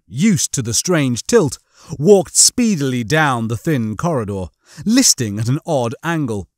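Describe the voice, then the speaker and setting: A man's voice: medium pitch, dry recording, studio quality. A man narrating a comic science-fiction audiobook from a script.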